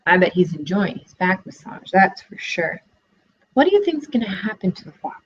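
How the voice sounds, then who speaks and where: A woman's voice: medium pitch, big pitch swings, normal speed, breathy edge, muffled recording. A young woman student teacher giving a read-aloud lesson for children, asking encouraging questions, recorded on a laptop webcam in a living room.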